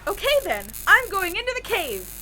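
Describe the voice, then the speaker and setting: A woman playing an excitable, reckless, childlike young female musician in an audio drama, exuberant and naive. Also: extremely high pitch, normal speed, slight background noise, heavily acted, low-quality recording. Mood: exasperated, urgent.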